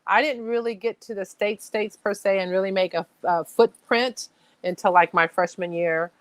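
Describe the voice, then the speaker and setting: A woman's voice: mid-range voice, fairly even intonation, moderate pace, no room sound. A woman from Thailand, a chef, talking as a podcast guest in a friendly way and laughing often. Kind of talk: casual interview chat.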